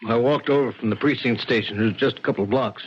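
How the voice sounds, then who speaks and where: An actor playing a steady, folksy homicide lieutenant in a 1970s radio mystery, speaking in a matter-of-fact, deliberate way. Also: male voice, mid-range voice, slight background noise, natural voice. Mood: businesslike. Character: steady, plainspoken homicide police lieutenant, pipe smoker. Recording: dull vintage 1970s radio broadcast.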